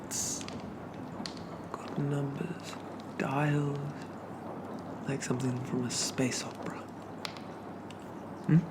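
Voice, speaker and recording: A man playing a shy, polite young zombie from a bygone era in a soft-spoken ASMR roleplay, stammering and apologizing in old-fashioned phrases. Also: mid-range voice, flat delivery, slow pace, pauses often, heavy background noise, natural voice. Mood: curious, awed.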